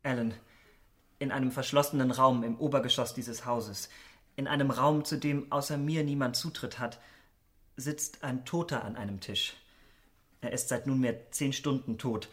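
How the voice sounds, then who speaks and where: An actor playing an elegant young gentleman in a German radio drama, commanding and coldly urgent in a polite, theatrical manner. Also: mid-range voice, steady tone, normal speed, close to an everyday voice. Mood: controlled urgency.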